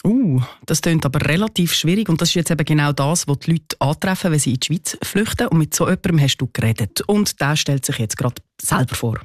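A man with a Swiss German accent hosting a radio chat, curious and quick with follow-up questions. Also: fairly high pitch, animated, no room sound. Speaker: radio host.

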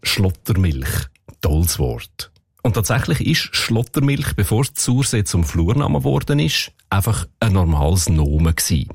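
A man's voice: deep voice, sing-song, moderate pace, vocal fry, a little mellow, husky, no room sound, studio quality. A man with a Swiss German accent explaining as a dialect expert in a radio segment.

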